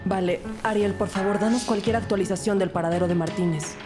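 A woman's voice: medium pitch, fairly even intonation, a little fast, over music, natural voice. An actress playing an earnest, composed police detective who narrates her case in a scripted audio drama. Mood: businesslike.

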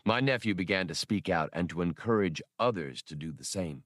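A man voicing a courteous, grave older scholar in an audiobook, with formal, deliberate wording. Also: low voice, animated, a bit bright, no echo, studio quality. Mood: grave, regretful. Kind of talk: audiobook character dialogue.

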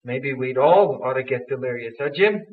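An actor playing a brash, wisecracking young guerrilla in a 1940s radio adventure serial, full of slang. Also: male voice, mid-range voice, lively intonation, moderate pace, close to an everyday voice. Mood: wry. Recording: distant, muffled, noisy old radio recording.

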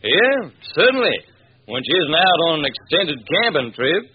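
A man's voice: on the high side, big pitch swings, normal speed, husky, exaggerated voice. A man playing a folksy, evasive ranch owner in an old-time radio drama. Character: cigar-chewing, evasive male dude ranch owner. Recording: tinny, hissy vintage radio recording.

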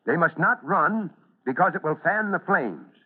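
Brusque fire chief, tinny old radio. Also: male voice, on the high side, expressive, moderate pace, husky. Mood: earnest, instructive. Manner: brusque, authoritative, exasperated. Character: gruff, impatient, no-nonsense male fire chief. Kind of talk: old-time radio drama acting.

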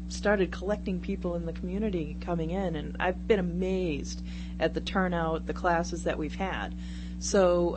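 A woman with a slightly low voice talks casually as a radio guest. The recording carries a clear hum and noise behind her. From Brockton, Massachusetts, United States. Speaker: guest, paranormal class organizer at a store. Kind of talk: casual interview chat. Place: radio studio. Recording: noisy studio audio with hum.

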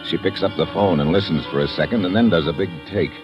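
A man's voice: deep voice, fast talker, lightly acted. An actor playing a wisecracking, sardonic American adventurer who narrates his own story in a mid-century radio drama. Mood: tense, wry narration. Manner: sardonic, slangy. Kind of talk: 1940s-50s radio adventure acting, first-person narration. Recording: dull old radio recording with loud music.

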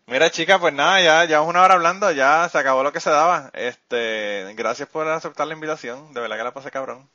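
A Puerto Rican man hosts a casual podcast chat. His voice is bright and forceful, and he teases his guest playfully with frequent laughs. The sound is dull and lo-fi. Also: on the high side, fairly quick, hardly any pauses. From Puerto Rico. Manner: playful teasing, laughs often. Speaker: podcast host.

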